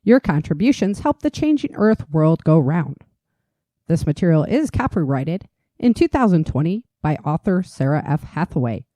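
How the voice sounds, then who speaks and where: A woman's voice: low-pitched, sing-song, normal speed, warm tone, no echo. A woman announcer doing scripted announcements and ad reads.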